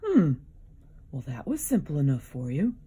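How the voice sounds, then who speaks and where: A woman's voice: low voice, wildly swooping pitch, moderate pace, dark tone, breathy edge, exaggerated voice. A woman voicing an irritable male iguanodon in a children's storybook, grumbling and pleading. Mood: grumpy, then thankful. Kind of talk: children's storybook read-aloud.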